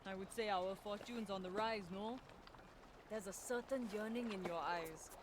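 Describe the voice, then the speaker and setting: A man's voice: high voice, normal speed, close to an everyday voice. A man playing a hopeful seafaring pirate in a video game, speaking in flowery, fatalistic phrases. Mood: hopeful, welcoming. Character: poetic, hopeful seafaring pirate crew member. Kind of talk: video game character acting. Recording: noisy sea and boat ambience.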